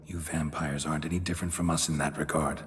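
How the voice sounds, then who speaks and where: A man acting a terse, weary monster hunter in a video game, with a low gravelly voice and blunt, flat delivery. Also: fast, a little hiss or hum. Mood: reproachful.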